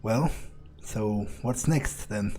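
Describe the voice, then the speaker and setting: A man roleplaying a blunt rookie vampire in a video game, with a husky voice and a measured, hesitant, conversational manner. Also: fairly low pitch, mellow. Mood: cautious, curious. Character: male rookie vampire turncoat, blunt, self-justifying.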